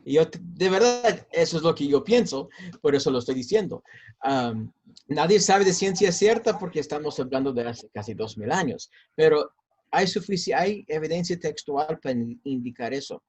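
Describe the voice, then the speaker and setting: Talkative pastor with American-Mexican accent. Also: male voice, fairly high pitch, expressive, normal speed, a little mellow, husky. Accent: mix of American and Mexican Spanish. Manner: talkative, hesitant with many fillers and repeats. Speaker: pastor and seminary teacher. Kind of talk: interview answers.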